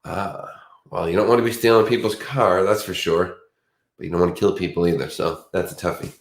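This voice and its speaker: Deep-voiced Boston man, roomy echo. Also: steady tone, brisk. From Boston area. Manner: meandering, chatty, restarts often. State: sleepy. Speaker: co-host of a true crime livestream. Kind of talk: casual rambling chat.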